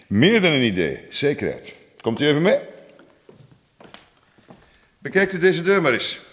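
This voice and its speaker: An actor with a Flemish accent playing a formal, measured, somewhat evasive museum curator in an audio drama. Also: male voice, fairly high pitch, sing-song, fairly bright tone. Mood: earnest. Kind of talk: naturalistic audio drama acting. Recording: echoing hall, background noise.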